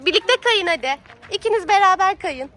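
A woman's voice: very high-pitched, animated, brisk. A woman talking playfully to her small child in a coaxing, sing-song way, like a mother out at a playground. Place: outdoor playground. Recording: noisy outdoor recording with background ambience.

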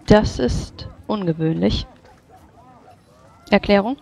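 A tabletop roleplayer speaking formally and in a measured way, switching between in-character speech and narration. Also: medium pitch, creaky, a little mellow, a little hiss or hum. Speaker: player or game master voicing characters in a tabletop game.

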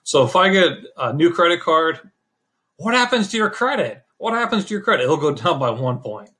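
A middle-aged man hosting a casual screen-share walkthrough, talking in a relaxed, rambling way and correcting himself as he goes. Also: fairly high pitch, moderate pace. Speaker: channel host explaining credit scores.

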